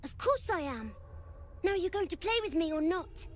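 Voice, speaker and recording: A woman's voice: very high-pitched, expressive, moderate pace, slight background noise. A woman voicing a bratty, demanding little boy in a video game, with a petulant, eerie childlike manner. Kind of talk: video game character acting.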